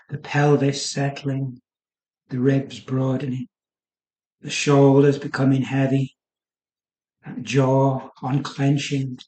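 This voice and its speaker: A man leads a guided relaxation in a soothing way. His voice sits a little higher than average for a man, and he speaks very slowly, letting short phrases settle. The recording has a clear roomy echo around the voice. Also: a little mellow. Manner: soothing, unhurried instruction with trailing pauses. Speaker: yoga nidra guide. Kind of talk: guided meditation.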